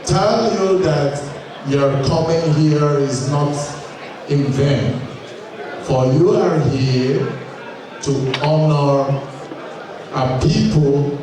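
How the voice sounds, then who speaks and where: A man giving a formal welcome address at a community gala, in slow, measured phrases with long pauses. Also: fairly high pitch. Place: large hall at a community gala. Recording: echoey hall, distant mic, crowd chatter.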